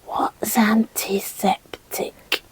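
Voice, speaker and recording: A woman's voice: medium pitch, flat delivery, very slow, whispered, rough recording. A woman voicing a small, curious young girl capybara puppet in a children's show, inquisitive and simple in her replies. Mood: timid, curious.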